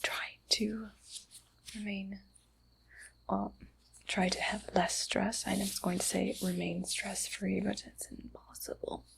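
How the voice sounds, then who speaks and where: A woman playing a shady, secretive back-alley doctor in an ASMR roleplay, speaking in a halting, conspiratorial, breathy whisper with some creak. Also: medium pitch, level tone, rough recording. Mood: conspiratorial, faintly menacing. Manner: slow, hushed, halting, conspiratorial.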